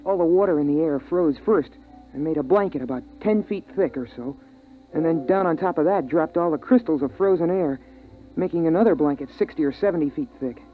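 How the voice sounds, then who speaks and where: An actor playing an earnest, excitable boy in a 1950s radio drama, with an eager, boyish manner. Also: on the high side, fairly quick, faint music behind, natural voice. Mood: tense storytelling. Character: earnest, excitable boy who scavenges air. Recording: tinny band-limited 1950s radio recording.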